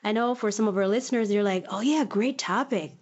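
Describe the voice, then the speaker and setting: A woman's voice: mid-range voice, fairly quick, a little mellow, breathy edge. A woman hosting an educational podcast, slightly nasal, talking in a friendly, conversational way. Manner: friendly, conversational, uses fillers. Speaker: podcast host. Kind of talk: casual educational podcast chat. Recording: dull lo-fi recording.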